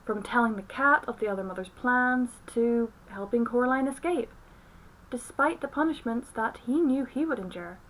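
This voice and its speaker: A woman delivers scripted film review commentary. Her voice sits fairly high as she talks through her points as a reviewer. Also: normal speed, a bit bright, low-quality recording. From outside the United States.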